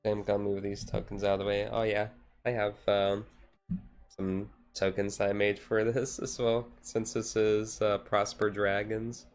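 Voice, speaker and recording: Slow, low-pitched, even-toned man chatting casually. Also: faint music behind. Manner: rambling, hesitant, laid-back. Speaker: live streamer. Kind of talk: casual chat while doing a card-game activity.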